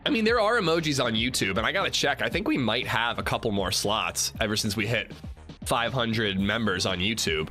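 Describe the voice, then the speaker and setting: A chatty male streamer in his thirties, a little congested. His voice is bright and forceful, and soft music plays in the background. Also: expressive, brisk. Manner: chatty, swings from upbeat shout-outs to low mumbling. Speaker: livestreamer. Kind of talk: casual livestream chat greeting viewers.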